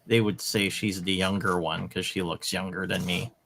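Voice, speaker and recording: A man's voice: sits low, level tone, moderate pace, creaky, background noise. A man in his late forties chatting on a casual podcast and recalling old music videos. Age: 40s. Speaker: podcast co-host. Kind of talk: casual chat.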